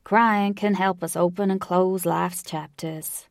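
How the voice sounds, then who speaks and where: A woman voicing a large, folksy, jovial elderly man with a slow Southern American cowboy drawl in an audiobook story. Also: fairly low pitch, fairly even intonation, gravelly, dry recording, clean studio sound. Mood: wistful, easygoing.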